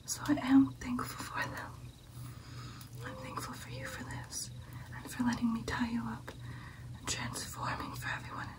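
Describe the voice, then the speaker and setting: A young woman playing a tender, powerful vampire girlfriend in an ASMR roleplay, with a soft, breathy, deliberate delivery. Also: on the high side, sing-song, far from the mic. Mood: tender, grateful. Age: young adult. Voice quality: breathy whisper. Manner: soft, intimate, reassuring, deliberate. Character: tender, powerful female vampire girlfriend addressing her coven.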